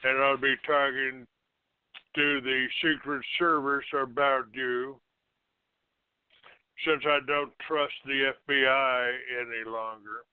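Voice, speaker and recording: A man hosting a call-in show, ranting off the cuff in a halting, accusatory and combative manner. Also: medium pitch, steady tone, clear, resonant tone, dry recording. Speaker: internet call-in show host. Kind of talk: unscripted talk show rant. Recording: narrow, tinny phone-like line.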